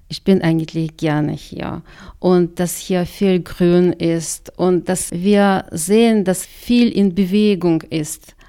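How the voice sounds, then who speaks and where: A middle-aged woman speaks German with a Russian accent. She talks slowly, in a somewhat low voice with a gravelly edge. She answers carefully and leans on repeated words for emphasis. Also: mellow. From Kazakhstan. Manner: careful, repeats "sehr, sehr" often. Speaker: interviewee, migrant community worker. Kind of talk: radio interview answers.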